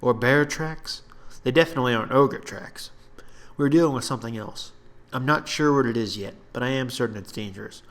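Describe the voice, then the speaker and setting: A man's voice: medium pitch, a little fast, a bit bright, lightly acted. Guy doing a serious ranger who hates people, terse, bossy, fantasy story reading. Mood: stern. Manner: terse, grumbling, commanding when alarmed. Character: gruff, irritable male ranger, serious misanthropic loner.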